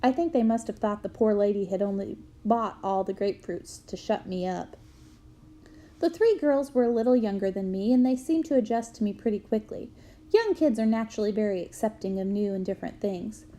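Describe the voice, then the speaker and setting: A woman reading a memoir aloud to students in a clear storytelling manner. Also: mid-range voice, fairly quick. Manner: clear storytelling, bursts into loud shouts for quoted yells.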